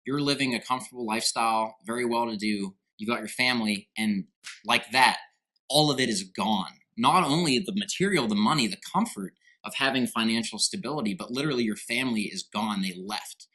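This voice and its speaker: A man's voice: mid-range voice, brisk, sharp tone, low-quality recording. A man hosting a podcast interview, emphatic and addressing listeners directly. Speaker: podcast host.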